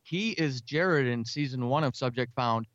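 A talkative, energetic man speaking casually as a guest in an interview about his creative work. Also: mid-range voice, normal speed, dry recording, lo-fi sound. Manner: energetic, talkative, self-described extrovert. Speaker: audio drama writer and podcaster, interview guest.

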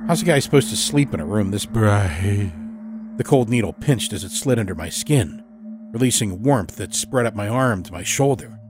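A man telling a scripted first-person horror story as storyteller, dramatic and expressive. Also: on the low side. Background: musical score.

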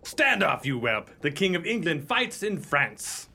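Heroic young king's man, male actor: high, ringing, defiant, formal, radio melodrama. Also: big pitch swings, unhurried, bright, forceful tone, a little hiss or hum, exaggerated voice. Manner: declamatory, formal heroic speech. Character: Red Joe, defiant, noble young king's agent. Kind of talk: melodramatic radio play acting.

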